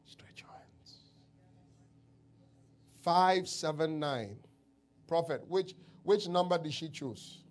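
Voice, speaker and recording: Slow-preaching Ghanaian man. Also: fairly high pitch, animated. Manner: slow drawn-out declarations that swell into loud shouts. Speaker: pastor, self-styled prophet. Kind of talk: prophetic preaching and praying over people. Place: church service.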